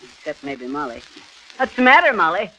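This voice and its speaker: An actor playing a folksy, bungling American husband who makes ungrammatical excuses, in 1930s radio comedy style on a crackly old recording. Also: male voice, on the high side, very animated, normal speed, sharp tone. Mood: sheepish, defensive. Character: folksy, bungling, defensive husband doing amateur wallpapering.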